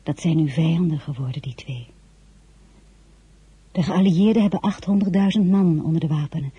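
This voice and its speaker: A woman's voice: deep voice, normal speed, warm tone, slightly rough, no room sound, dull audio. An actress playing a proud, guarded lady in a radio drama, speaking in a restrained, formal way. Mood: pensive, lonely. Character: proud, guarded lady, emperor's former love. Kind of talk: dramatic radio-play acting and narration.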